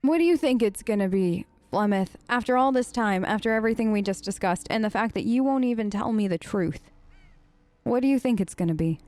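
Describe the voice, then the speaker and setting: A woman gamer hosting a role-play let's play, conversational, expressive and emphatic. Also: mid-range voice, brisk. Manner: expressive, emphatic, incredulous asides. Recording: close dry mic, faint game music. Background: faint game music.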